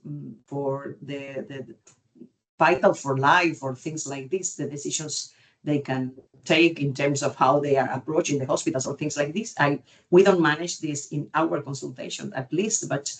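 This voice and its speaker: A woman from Cuba with a Cuban Spanish accent, speaking as an expert panellist in an unscripted webinar, searching for words in halting non-native phrasing. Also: low voice. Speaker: genetic counselling expert and panellist. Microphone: video call.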